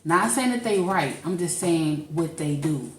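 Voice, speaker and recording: A woman's voice: deep voice, lively intonation, unhurried, roomy sound, a little hiss or hum. A woman from St. Louis co-hosting a casual podcast, thinking aloud and restarting her sentences as she goes. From St. Louis, Missouri. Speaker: podcast co-host. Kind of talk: casual chat.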